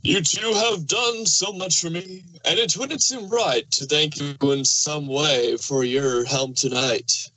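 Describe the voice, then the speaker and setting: A man's voice: on the high side, takes it slowly, few pauses, bright, forceful tone, exaggerated voice, low-quality recording. A man voicing a jolly old Santa Claus, kindly and hearty, telling his lines in storybook rhyme. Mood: grateful, kindly. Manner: hearty, theatrical storytelling in verse. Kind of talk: rhyming storybook fan fiction reading.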